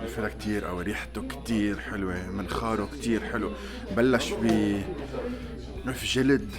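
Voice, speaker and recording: A man hosting a casual wine tasting and interview, enthusiastic and quick, switching between two languages. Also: fairly low pitch. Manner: quick, enthusiastic, mixes English and Arabic. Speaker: host. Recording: loud music and crowd behind.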